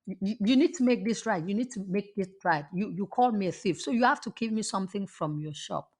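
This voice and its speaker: A woman's voice: medium pitch, animated, brisk, a little mellow. A woman voicing an indignant young female shopper who insistently demands amends. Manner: insistent, repetitive.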